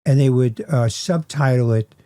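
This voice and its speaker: A man's voice: medium pitch, normal speed, warm tone, clear, resonant tone, dry recording. A man hosting a podcast who chats casually and keeps asking his guest questions. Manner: curious, asks many questions. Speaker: podcast host.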